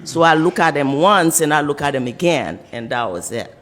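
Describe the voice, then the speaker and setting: A woman's voice: low-pitched, animated, normal speed. A woman in her sixties with a Haitian accent, answering casually and conversationally in a courtroom. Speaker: defendant's wife in court. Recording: echoey courtroom with long reverb.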